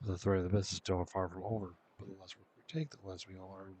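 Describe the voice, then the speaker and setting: A man's voice: low-pitched, little pitch movement, fast talker, darkish tone, a little hiss or hum. A man streaming a video game, casually reading dialogue choices aloud and commenting as he plays. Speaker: gameplay streamer.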